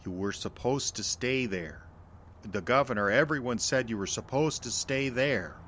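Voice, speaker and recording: An actor playing a bitter, grumbling older American father in an audio drama, gruff and sarcastic, with folksy grammar. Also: medium pitch, moderate pace, fairly bright tone, slight background noise, lightly acted. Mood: shocked, accusing.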